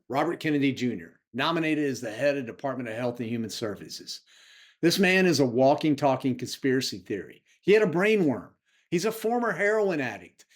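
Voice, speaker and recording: Casting: American male activist host, slightly high voice, indignant and emphatic delivery. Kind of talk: impassioned spoken political advocacy.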